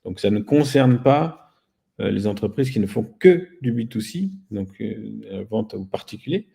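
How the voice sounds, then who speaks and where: A man's voice: fairly low pitch, expressive, normal speed, creaky, dark tone, breathy edge. A man speaking as an interview guest, explaining things casually with a wry, self-deprecating manner. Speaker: guest, company founder.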